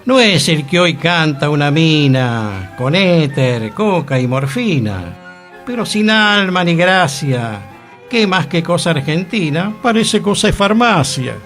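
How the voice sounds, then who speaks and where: A man's voice: on the high side, sing-song, slow, fairly bright tone, soft background music, heavily acted. A man with a Rioplatense accent reading a 1920s Buenos Aires drinker who declaims rhyming verse about tango in a raised voice. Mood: declaiming. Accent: Rioplatense Spanish.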